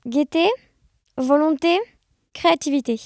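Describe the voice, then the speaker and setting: Woman guest, really high smiley voice, chatting casually, dry close sound. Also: unhurried, darkish tone, no room sound. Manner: cheerful, smiling. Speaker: podcast guest. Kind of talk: casual personal interview.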